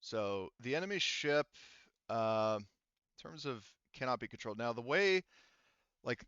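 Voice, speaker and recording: A man acting as game master of a tabletop game, explaining rules casually in a halting, step-by-step way. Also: medium pitch, lively intonation, a bit bright, clear, resonant tone, no room sound, highs cut off.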